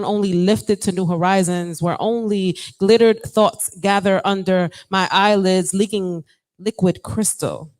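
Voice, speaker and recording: A woman's voice: medium pitch, poor audio. A woman poet who jokes playfully, then reads her spoken word poem. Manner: playful, joking self-promotion. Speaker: poet and author. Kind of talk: spoken word poetry reading.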